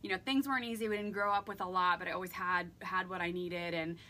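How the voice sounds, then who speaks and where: Fast-talking bright woman in her thirties. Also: on the low side, fairly even intonation. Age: 30s. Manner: fast, earnest storytelling. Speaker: guest. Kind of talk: casual interview chat.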